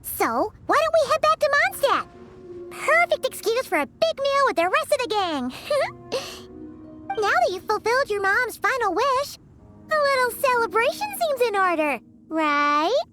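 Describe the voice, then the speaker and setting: Tiny fairy sidekick, extremely high squeaky childlike voice, bubbly, wildly swooping, video game, music behind. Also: female voice, sing-song, unhurried, heavily acted. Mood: encouraging, eager. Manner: bubbly, exclamatory, refers to self in third person.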